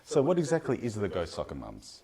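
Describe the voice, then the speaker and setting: A man presents a radio show in a low, husky voice with a mellow tone. He reads his introductions in a scripted way. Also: huge pitch swings, a little fast. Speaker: radio host.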